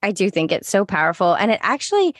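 A woman's voice: mid-range voice, very animated, fast, dry recording, clean studio sound. An American woman co-hosting a podcast in casual conversation, chatty and given to explaining things as she goes. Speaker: podcast co-host and lactation consultant.

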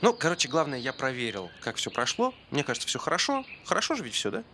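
A bright, forceful male voice with faint noise behind it. a busy grown son who chats with his parents and jokes at his own expense in a stage play. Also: mid-range voice, wildly swooping pitch, fast, heavily acted. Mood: chatty. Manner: chatty, self-deprecating.

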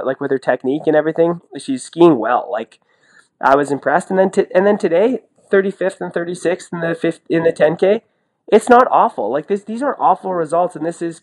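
A man chatting casually as a sports podcast co-host, talkative and colloquial, often repeating himself for emphasis. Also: fairly high pitch. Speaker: podcast co-host and sports commentator, former athlete.

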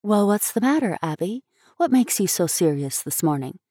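A woman's voice: medium pitch, sing-song, normal speed, warm tone, no room sound. Woman as an arch, incredulous schoolgirl, dry sound. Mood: curious. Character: poised, teasing older schoolgirl classmate. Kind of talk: storybook character reading.

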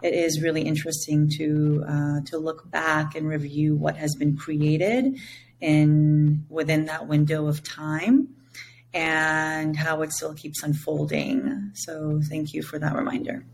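A woman from Israel, a native Hebrew speaker with an Israeli accent, speaking slowly and searchingly as a podcast guest over a video call. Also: low voice, little pitch movement, creaky, darkish tone, slight background noise. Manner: slow, measured and searching, with many pauses and fillers. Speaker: guest, psychedelic integration coach. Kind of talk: reflective podcast conversation. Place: home with a window onto jungle.

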